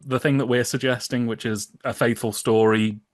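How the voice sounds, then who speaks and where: A man's voice: medium pitch, fairly even intonation, moderate pace, no echo. British man explaining casually, dry close sound. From United Kingdom. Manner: chatty, humorous, wry, often laughing as he talks. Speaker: podcast guest. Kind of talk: casual podcast discussion, explaining.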